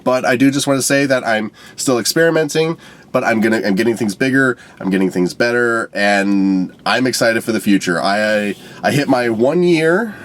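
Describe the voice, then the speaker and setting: A young man with a stuffed-up nose giving an unscripted, profane movie review rant, swinging between loud outbursts and low mutters. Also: normal speed.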